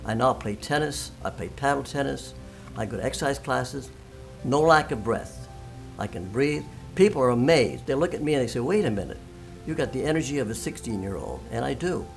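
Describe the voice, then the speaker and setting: An elderly man in his nineties gives an unscripted testimonial as a patient. He is plain-spoken and emphatic, with a mid-pitched voice over faint music. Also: lively intonation, a little fast, vocal fry, poor audio. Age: 90s.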